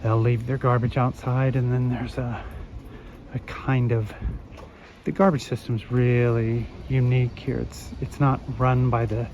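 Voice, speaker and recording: A man with a hoarse voice, still recovering from an illness, strolls a residential street and gives a rambling walking-tour commentary full of ums. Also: mid-range voice, level tone, darkish tone. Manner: rambling, unhurried, many fillers. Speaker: vlogger. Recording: dull outdoor phone audio with traffic. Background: street traffic.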